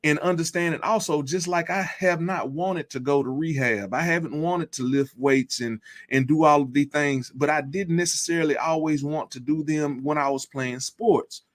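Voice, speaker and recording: Earnest motivational man, slightly high voice. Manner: earnest, measured, repeats key phrases. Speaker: guest speaker. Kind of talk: motivational personal story.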